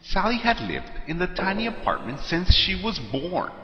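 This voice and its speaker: A man's voice: high-pitched, huge pitch swings, normal speed, muffled recording. A man narrating a scary story in a dramatic, scripted style. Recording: echoey long reverb. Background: music.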